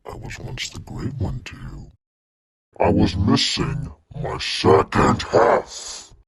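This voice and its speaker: A man voicing a menacing, vengeful alien mastermind with a gravelly growl, delivering theatrical, taunting villain monologues. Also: mid-range voice, takes it slowly. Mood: taunting, bitter. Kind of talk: fan character voice clips, dramatic monologue.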